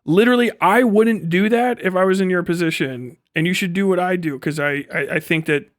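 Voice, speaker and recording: A man's voice: high-pitched, brisk, no room sound, clean studio sound. A man giving a casual livestream rant as host, swearing freely in slangy, sarcastic talk and often laughing as he speaks.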